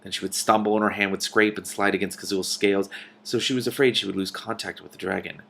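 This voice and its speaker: A man reading a novel aloud as host, with animated, casual reactions between passages. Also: fairly low pitch, fast talker, vocal fry, a little hiss or hum.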